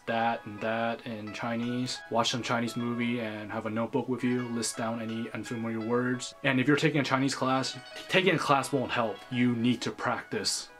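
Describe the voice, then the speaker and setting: Earnest young American vlogger. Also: male voice, mid-range voice, a bit bright. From United States. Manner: earnest, direct to camera. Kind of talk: scripted vlog commentary. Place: small bedroom indoors.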